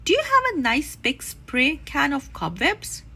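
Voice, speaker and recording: Woman voicing an excitable storybook father bear, swooping pitch. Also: a little slow, heavily acted. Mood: busy, excited.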